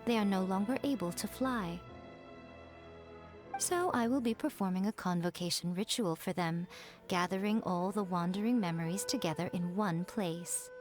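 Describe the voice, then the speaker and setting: Actress as elegant, sly fox priestess for a game: mid-pitched, playful, self-assured, with music underneath. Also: female voice, moderate pace, close to an everyday voice. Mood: composed, explanatory. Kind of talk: video game voice acting. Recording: music behind the voice.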